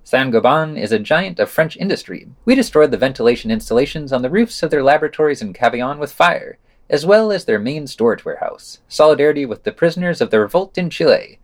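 A man's voice: a little high, lively intonation, quick pace. A man reading a scripted news roundup as a podcast presenter, adding wry, sardonic asides.

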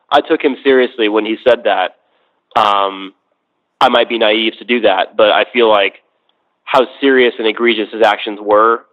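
A man in a casual discussion over a thin phone-like connection, tentatively qualifying his points as he goes. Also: fairly low pitch. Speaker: podcast discussion guest. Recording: thin, narrow phone-like line.

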